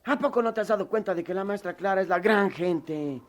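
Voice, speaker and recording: An actor playing a mischievous, good-hearted skinny young pal in a radio drama, colloquial and frank, with a Mexican accent. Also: male voice, high-pitched, fairly quick, close to an everyday voice. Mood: earnest.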